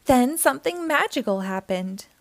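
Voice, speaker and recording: Female children's storytime reader: high voice, lively swooping intonation, expressive delivery, close dry sound. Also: moderate pace, no echo. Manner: expressive, theatrical. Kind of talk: children's picture book read-aloud.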